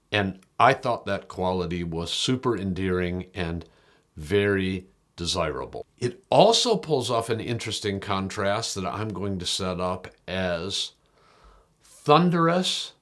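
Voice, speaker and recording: A man presenting a product review as an unscripted talk, speaking in a measured, deliberate way with pauses and stressed key words. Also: fairly low pitch, fairly bright tone. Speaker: audio magazine reviewer and host.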